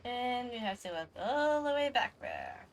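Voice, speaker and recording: A woman's voice: a little high, wildly swooping pitch, a little slow, gravelly, slight background noise. A woman livestreamer giving casual commentary as she plays a game, with playful murmured asides. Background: game music.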